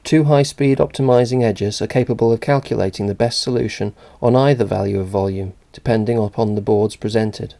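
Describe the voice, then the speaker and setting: A man reading a scripted narration for a company video in an even, measured way. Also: on the low side, gravelly.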